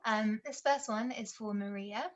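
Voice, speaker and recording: A woman hosts a live online event and briskly sets up the audience questions. Her voice comes through quiet and a little distant. The audio has the dull, lo-fi sound of a call. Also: on the high side.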